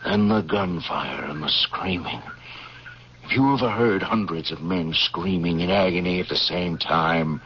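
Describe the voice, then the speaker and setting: A low male voice as a haunted, vengeful army major in a radio drama. He is brooding and accusing, every phrase intense. The old recording is muffled and hissy. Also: unhurried. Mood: haunted anguish. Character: bitter, vengeful Union Army major, haunted prison-camp survivor.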